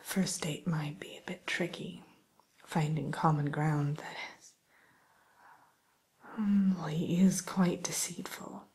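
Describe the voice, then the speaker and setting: A woman softly whispering an ASMR roleplay as a polite, hesitant matchmaker who soothes and defers to her client. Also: low-pitched, steady tone, slow pace, long pauses, heavily acted. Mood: hushed, tactful. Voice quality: breathy, mostly whispered. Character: polite, accommodating female matchmaker at a dating service for villains.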